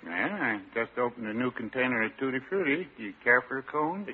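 An actor playing a droll, mild small-town American druggist in a classic radio comedy, talking in a dry, folksy way. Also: male voice, medium pitch, lively intonation, brisk, lightly acted. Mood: mild, helpful. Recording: tinny, noisy old radio recording.

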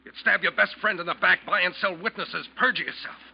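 An actor playing a sardonic, insistent ship's officer who probes and accuses, in an old-time radio drama. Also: male voice, high-pitched, brisk, creaky, slight background noise. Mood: bitter anger. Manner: probing, sarcastic, insistent. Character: accusing, sardonic male ship's officer confronting his captain. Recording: muffled vintage radio drama recording.

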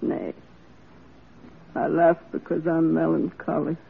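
A performer playing a melancholy, broken woman prisoner in a classic radio drama, speaking plaintively and haltingly. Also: medium pitch, fairly even intonation, gravelly. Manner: plaintive, halting, archaic rustic phrasing. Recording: tinny, noisy old radio drama recording.

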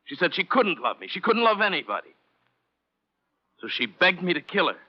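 An actor playing an intense, goading, obsessive murderer in an old-time radio crime drama. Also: male voice, sits high, big pitch swings, moderate pace, breathy edge, heavily acted. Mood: anguished, obsessive. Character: menacing, unhinged murderer confessing his crime. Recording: muffled vintage radio recording.

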